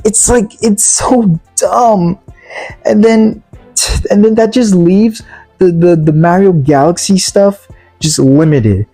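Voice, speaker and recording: A reviewer giving a casual, opinionated movie rant in an exasperated, halting way, often repeating words. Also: mid-range voice, warm tone, soft background music, rough recording. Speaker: video reviewer.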